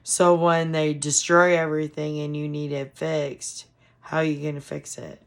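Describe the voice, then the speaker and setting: Hoarse, gravelly-voiced woman ranting. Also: low-pitched, flat delivery, moderate pace. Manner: frustrated, ranting. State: hoarse, losing her voice. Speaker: commentary vlogger. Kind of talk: casual political commentary.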